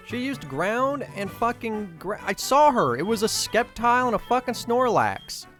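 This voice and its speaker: A young man hosting a let's play with casual commentary, his voice a little off from allergies, who swears and rants in sudden excited bursts. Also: high voice, moderate pace, soft background music. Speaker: gaming video host.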